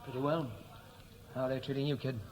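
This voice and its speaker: A man's voice: medium pitch, flat delivery, brisk, darkish tone, lightly acted. An actor playing a regretful, restless Welsh-born man home from America, hesitant and dry, in a 1960s radio drama. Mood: probing. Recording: noisy old radio play recording.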